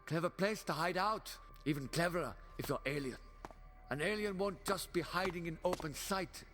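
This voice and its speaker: A man playing a righteous, sharp-tongued alien time traveller in an audio drama, commanding and sardonic. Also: a little high, normal speed, lightly acted. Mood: wry. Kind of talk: fan audio drama acting. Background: loud dramatic music.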